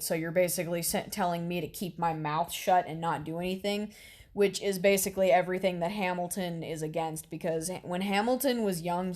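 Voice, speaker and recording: A woman's voice: fairly low pitch, a little mellow. A woman hosting a reaction video in casual chat, rambling and explaining as she comments.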